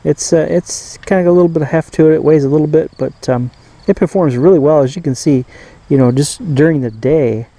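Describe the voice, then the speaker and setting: Male hobbyist host, soft mellow voice, informal show-and-tell, halting delivery that thinks aloud. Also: a little high, no echo. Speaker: host of a radio collecting channel. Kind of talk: casual hands-on gadget demonstration.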